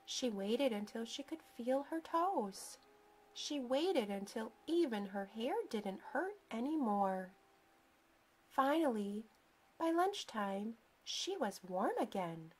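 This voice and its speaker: A woman storyteller reading a children's picture book aloud, with expressive, deliberate emphasis for young listeners. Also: fairly high pitch, breathy edge, poor audio.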